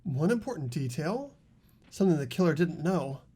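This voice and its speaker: A performer playing an earnest, argumentative high school student with animated questioning in a fan-voiced game. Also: moderate pace, slight background noise, lightly acted. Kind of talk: fan voice acting of a video game script.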